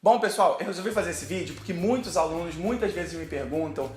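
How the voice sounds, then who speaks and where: A man's voice: fairly high pitch, a little fast, reverberant, soft background music. A Brazilian man with a Brazilian Portuguese accent, teaching English pronunciation in a video lesson, posing questions and then answering them.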